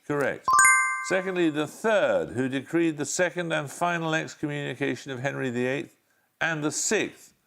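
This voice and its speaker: A man hosting a televised quiz, reading questions and calling answers in a brisk, authoritative way. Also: on the high side, lively intonation, creaky, fairly bright tone. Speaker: quiz show host.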